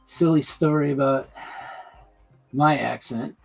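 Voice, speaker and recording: A man talks unhurriedly through a hobby live stream, his voice rough with gravel. He drifts between stories and the work in his hands, full of pauses and restarts. Soft music plays faintly behind him. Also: mid-range voice, steady tone, low-quality recording. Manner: halting, many fillers and restarts, chats while working. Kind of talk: casual chat while building a model layout.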